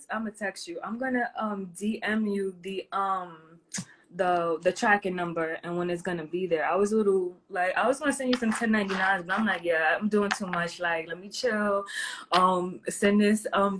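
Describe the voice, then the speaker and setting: A woman's voice: medium pitch, background noise. A woman chatting casually on a live call, rambling with many fillers and restarts. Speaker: guest on a live stream. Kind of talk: casual chat.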